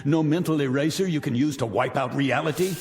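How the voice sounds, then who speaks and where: A man's voice: a little high, brisk, slight background noise, natural voice. A middle-aged actor playing a vindictive rich man taunting his guests in 1960s television drama style, mocking and grandiloquent. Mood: scornful, mocking. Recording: vintage TV soundtrack, reverberant, music behind.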